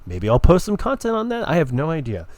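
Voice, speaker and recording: A man's voice: a little high, wildly swooping pitch, fast, a little mellow. A man rambling casually and hesitantly as he introduces a gameplay video, with an offhand manner. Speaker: gaming video creator.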